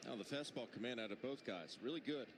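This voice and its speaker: Male American color analyst, medium pitch, breaking down baseball over clear ballpark noise. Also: quick pace. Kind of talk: analytical sports commentary. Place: outdoor baseball stadium.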